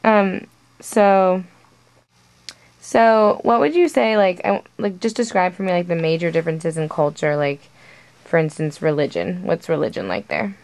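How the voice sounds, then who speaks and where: A woman's voice: fairly low pitch, lively intonation, measured pace, darkish tone, no echo. A young woman conducting an informal interview, asking questions in a hesitant, casual way full of fillers. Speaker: student interviewer.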